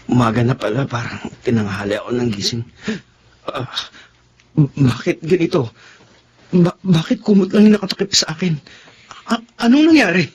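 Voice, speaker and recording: Actor as a hesitant young Filipino man, higher voice. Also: normal speed, pauses often, darkish tone, a little hiss or hum, natural voice. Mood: confused, flustered. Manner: stammering, hesitant. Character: hesitant, flustered young man in love, dutiful son. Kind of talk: Tagalog radio drama acting.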